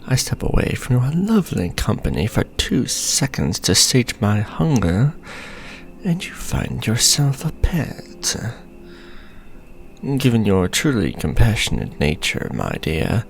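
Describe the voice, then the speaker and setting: A man playing a condescending, possessive aristocratic vampire lord in a fantasy audio roleplay, speaking in pompous, drawn-out formal phrases. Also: on the low side, huge pitch swings, gravelly, a little hiss or hum, full character voice. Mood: mocking, possessive.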